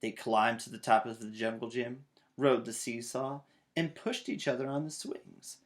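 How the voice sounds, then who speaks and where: A man's voice: medium pitch, fairly even intonation, normal speed. A man in his thirties narrating a children's storybook aloud. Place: small child's bedroom, indoors.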